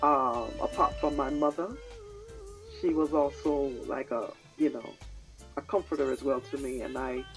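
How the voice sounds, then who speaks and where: A woman with a British-tinged Jamaican accent, chatting as an interview guest in a studio, soft-spoken and halting, with many fillers and easy laughter. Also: rumbling low, fairly even intonation, gravelly, soft background music, rough recording. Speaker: reggae singer, guest. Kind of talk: casual interview chat. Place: music studio.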